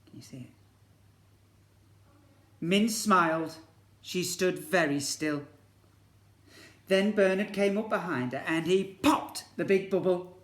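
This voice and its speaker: A man's voice: high-pitched, takes it slowly, long pauses, fairly bright tone. A man reading a storybook aloud to young children like a teacher, expressively and with questions to the listeners. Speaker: infant school teacher reading to pupils. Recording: echoey room.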